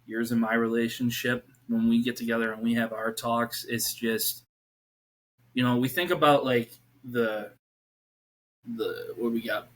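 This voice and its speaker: A man's voice: medium pitch, level tone, a little slow, low-quality recording. A man in his thirties, a youth pastor, talking earnestly as a podcast guest and rambling with many restarts. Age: 30s. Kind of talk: casual faith conversation.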